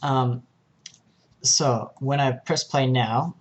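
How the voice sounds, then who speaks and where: A man narrating an informal software tutorial, explaining each step in a hesitant way. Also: mid-range voice, fairly even intonation, drawn-out words. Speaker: tutorial presenter.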